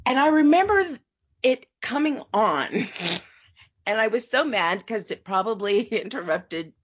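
A woman's voice: on the high side, expressive, a little slow, husky, lo-fi sound. A middle-aged woman chatting casually as a podcast panelist, rambling and digressing as she goes. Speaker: podcast panelist reviewing old radio shows. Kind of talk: casual chat.

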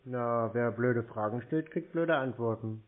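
Deadpan man on a tinny call. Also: medium pitch, a little slow. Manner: deadpan, curt, provocative. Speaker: prank call recipient baiting scam callers. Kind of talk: casual phone argument. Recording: tinny phone-like call audio.

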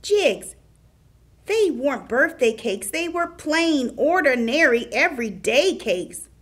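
An exasperated shaggy dog puppet voiced by a woman in a children's puppet show. The high voice swings widely in pitch as it corrects a friend, insistent, emphatic and scolding. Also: big pitch swings, slow, heavily acted. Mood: frustrated, emphatic. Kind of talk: children's puppet theater dialogue.

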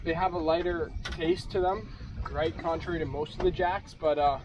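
A young man on a fishing boat, calmly explaining how fish taste and cook, recorded on an action camera out at sea. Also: on the high side, moderate pace, far from the mic. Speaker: fishing partner. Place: small boat on open sea, outdoors. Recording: wind and boat noise outdoors.

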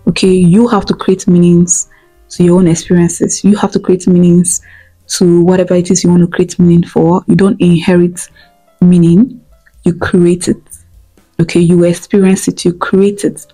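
A young woman from Nigeria with an Igbo accent speaks earnestly to camera, her voice a little low and warmly mellow. She often stops to check in with "okay?" and says her main points twice. She talks into a lapel mic in a room at home, with quiet music playing behind her. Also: flat delivery, normal speed, low-quality recording. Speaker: vlogger. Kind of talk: motivational talk to camera.

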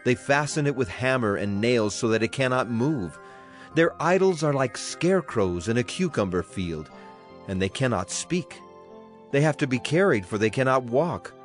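A man's voice: medium pitch, expressive. Solemn man reading scripture over music. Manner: measured, solemn. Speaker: Bible narrator.

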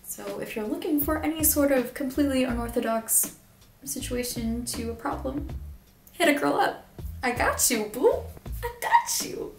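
A woman's voice: high voice, animated, normal speed, vocal fry, far from the mic, faint music behind. A young woman from the Philippines talking candidly to camera in a casual vlog, with lots of "like", swearing and laughter. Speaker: vlogger. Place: small bare room, indoors. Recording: echoey room, mic some way off.

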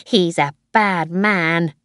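A woman's voice: lowish voice, drawn-out words, fairly bright tone, clear, resonant tone, no room sound, clean studio sound. A woman voicing an affectionate but defiant little boy in a storybook, with simple, blunt childlike delivery.

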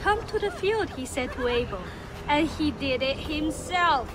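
A woman's voice: high-pitched, lively intonation, unhurried, background noise. An actress playing a ruthless, commanding female pirate in a video game, goading and contemptuous. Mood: scornful. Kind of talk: video game drama acting. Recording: music behind. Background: music.

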